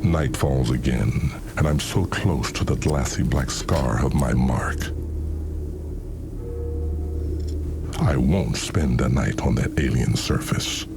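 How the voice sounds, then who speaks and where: A man playing a weary, haunted alien shipmaster, narrating a motion comic in a solemn, deliberate, brooding manner. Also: extremely low pitch, gravelly, noisy background, heavily acted. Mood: weary sorrow. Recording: loud music behind the voice. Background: loud music.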